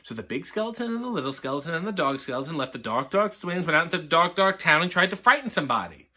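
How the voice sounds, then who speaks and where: Guy reading a kids book, bright highish voice, deadpan snarky side comments. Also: quick pace. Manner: sardonic, deadpan asides. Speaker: storyteller reading a children's picture book. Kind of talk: storybook reading with wry comic asides.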